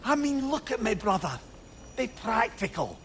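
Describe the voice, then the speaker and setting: An actor playing a wry, self-doubting older advisor in a video game, speaking in a wordy, lyrical way. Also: male voice, very high-pitched, moderate pace, creaky, a little hiss or hum, heavily acted. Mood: regretful. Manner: wordy, lyrical, expressive storytelling. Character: wry, eloquent, self-doubting older male advisor, warm with his friend. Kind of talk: dramatic video game acting.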